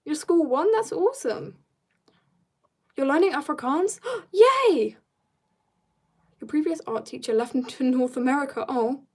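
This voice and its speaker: A fairly high-voiced woman with a gravelly edge of vocal fry, chatting through a drawing livestream. She answers her viewers' messages one by one and slips into soft murmuring as she concentrates. She sounds a little tired. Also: animated, measured pace, long pauses. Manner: chatty, reads out viewer comments and answers them; drifts into quiet mumbling to herself while drawing. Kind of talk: casual livestream chat while drawing.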